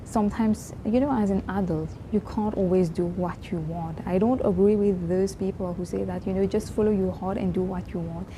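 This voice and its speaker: A woman in her twenties from Mauritius, whose first language is Creole, talking earnestly and reflectively in a personal vlog, pausing and restarting often. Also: mid-range voice, steady tone, background noise. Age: 20s. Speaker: vlogger. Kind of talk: casual personal vlog talk.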